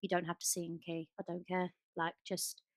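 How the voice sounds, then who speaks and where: A woman's voice: lowish voice, little pitch movement, normal speed, no room sound, studio quality. A woman co-hosting a podcast in casual chat, gushing and emphatic, often repeating herself for stress. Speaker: podcast co-host.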